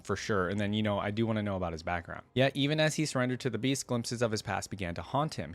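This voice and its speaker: Low-voiced man, casual reaction host. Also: no room sound. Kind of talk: casual commentary and reading lore aloud.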